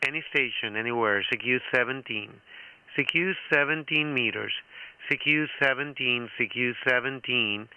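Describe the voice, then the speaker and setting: A man's voice: medium pitch, bright, forceful tone, clean tone, rough recording. A man making repetitive amateur radio calls in clipped, formulaic phrases.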